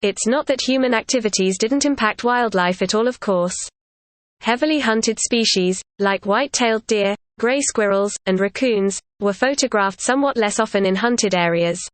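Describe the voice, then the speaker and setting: A woman's voice: mid-range voice, moderate pace, no echo. A woman reading a scripted practice passage aloud as a narrator.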